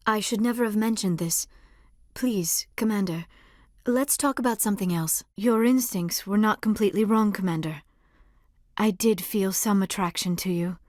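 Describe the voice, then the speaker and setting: Casting: actress for a female alien in a sci-fi game, gentle and hesitant, mid-range voice, precise formal phrasing, earnest temper, dry studio sound. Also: mid-range voice, dry recording, natural voice. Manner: careful, formal diction with few contractions; hesitant, soft-spoken, earnest. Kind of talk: video game dialogue acting.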